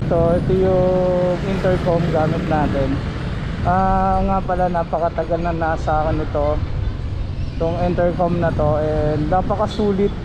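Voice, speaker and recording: Male Filipino motovlogger, high-pitched, casual and rambling, recorded outdoors on a ride with heavy vehicle noise. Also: fairly even intonation, moderate pace. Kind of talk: casual vlog chat while riding a motorcycle. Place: outdoors on a motorcycle on the road. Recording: loud road and engine noise. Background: vehicle and street noise.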